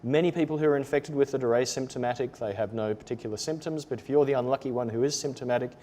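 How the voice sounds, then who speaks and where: A man with an Australian accent presenting a teaching webinar, explaining in a measured way with occasional ums. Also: medium pitch, dark tone. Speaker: lab trainer presenting a webinar. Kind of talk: webinar lecture.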